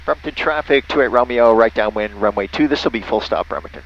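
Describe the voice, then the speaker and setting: A man's voice: medium pitch, fast talker, creaky, lo-fi sound, a little hiss or hum. An American man, a pilot and radio amateur, making radio calls and casually narrating his flight.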